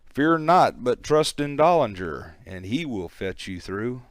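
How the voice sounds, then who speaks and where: A man's voice: mid-range voice, very animated, measured pace, dry recording, clean studio sound. A man voicing a brave, reassuring canal pilot in a storybook poem, declaiming boldly. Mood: steadfast, reassuring.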